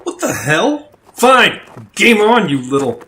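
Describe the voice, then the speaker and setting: An actor voicing an excitable, teasing big brother, a young prince given to playful exclamations, in an audio drama. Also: medium pitch, takes it slowly, heavily acted, poor audio. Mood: taunting.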